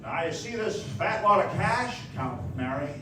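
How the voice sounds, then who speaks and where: An actor playing an earnest, excitable small-town young man in a 1940s style radio play, stammering and emphatic. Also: high-pitched, moderate pace. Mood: exuberant. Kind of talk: live radio play acting, 1940s American. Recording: echoey dance hall from far off, faint noise.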